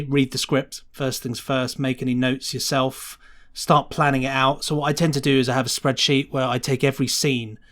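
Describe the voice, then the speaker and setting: A British man speaks as a guest on a casual podcast. His pitch sits in the middle of the male range. He explains a technical working process in a conversational way, often acting out short quotes to make a point. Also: fairly bright tone. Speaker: guest sound designer being interviewed.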